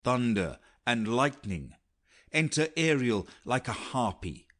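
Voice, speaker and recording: A man's voice: medium pitch, sing-song, takes it slowly, fairly bright tone. A man narrating stage directions for a dramatic audiobook in a scripted, reading style.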